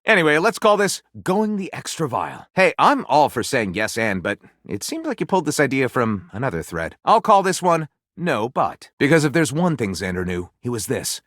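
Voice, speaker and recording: Flamboyant, pompous storyteller with wild swoops. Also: male voice, mid-range voice, big pitch swings, moderate pace, hardly any pauses, fairly bright tone, no room sound, studio quality. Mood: grandiose, gleeful. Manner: grandiose, melodramatic narrator delivery with gushing exclamations. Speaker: voice actor playing a game character. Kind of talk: theatrical storytelling, video game voice lines.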